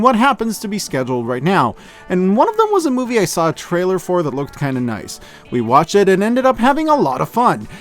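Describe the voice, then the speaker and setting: A man hosting a scripted review in a casual, joking, wry way, with expressive, emphatic delivery. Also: sits high. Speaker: host of a year-end media review show. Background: music behind the voice.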